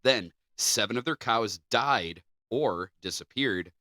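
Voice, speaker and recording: A man's voice: lowish voice, lively intonation, slow pace, sharp tone, no echo, clean studio sound. A man co-hosting a podcast, moving between reading scripted narration and informal chat. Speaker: podcast co-host.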